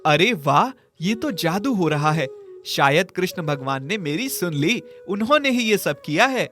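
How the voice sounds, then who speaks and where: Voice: medium pitch, very animated, measured pace, a bit bright. An actor voicing a poor, devout, kind-hearted orphan boy in a children's cartoon story, earnest and full of wonder. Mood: amazed.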